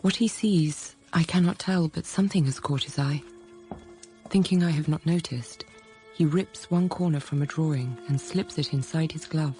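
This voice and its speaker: An actress playing a frank, self-possessed young schoolteacher in a period radio drama, narrating quietly and thoughtfully. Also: female voice, low-pitched, normal speed, soft background music, natural voice, rough recording. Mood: suspenseful. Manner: quiet, composed, literate storytelling.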